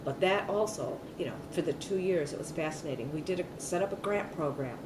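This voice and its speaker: A woman's voice: low-pitched, animated, a little fast. A middle-aged woman giving an oral history interview, talking loosely with many fillers and restarts. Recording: echoey room, mic at a distance, noisy.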